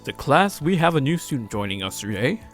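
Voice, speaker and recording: A man's voice: medium pitch, very animated, brisk, soft background music, lightly acted. A man playing a welcoming male schoolteacher in a visual novel, announcing formally to a class. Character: friendly male schoolteacher welcoming a new student.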